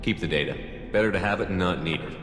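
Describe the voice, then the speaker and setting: A principled commander from a sci-fi game, low-voiced and quick in delivery. He is direct and authoritative, heard in an echoing hall over music. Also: fairly bright tone. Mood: steady, measured. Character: male military commander, firm, level-headed, principled hero. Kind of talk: video game cutscene acting. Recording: echoey hall reverb under clear background music.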